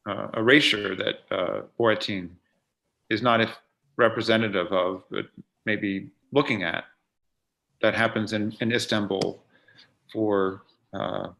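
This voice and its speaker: Male interviewer, literary talk, winding questions, gravelly, lowish pitch. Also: fairly bright tone. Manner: long, winding questions with restarts.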